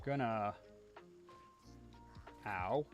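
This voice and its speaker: A man's voice: lowish voice, drawn-out words, dull audio, soft background music. A man giving casual live commentary as he plays a video game, talking half to himself as a streamer. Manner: casual, muttering self-talk while playing.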